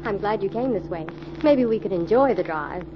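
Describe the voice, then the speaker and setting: An actress with an American accent playing an earnest, anxious young assistant sleuth in a 1940s radio drama. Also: female voice, medium pitch, lively intonation, normal speed, close to an everyday voice. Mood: curious. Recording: muffled, noisy old radio recording.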